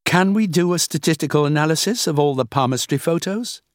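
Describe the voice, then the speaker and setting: Man reading earnest young woman, questioning, lifted pitch, dry sound. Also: lively intonation, fairly quick, no room sound, lightly acted.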